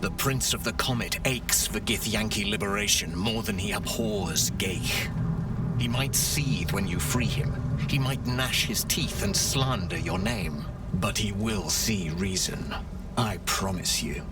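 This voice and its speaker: An actor voicing a stern, zealous githyanki rebel knight in a video game, speaking in a grand, fervent, oratorical manner. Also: male voice, lowish voice, a little slow, natural voice. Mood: reassuring, resolute. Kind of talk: dramatic video game dialogue. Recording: distant, with music underneath.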